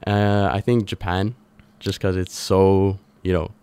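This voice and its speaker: A man with a fairly low, clean voice answers questions as a guest entrepreneur on a radio show. His delivery is conversational, and the studio sound is dry. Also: little pitch movement, a little slow, a little mellow, clear, resonant tone, no echo.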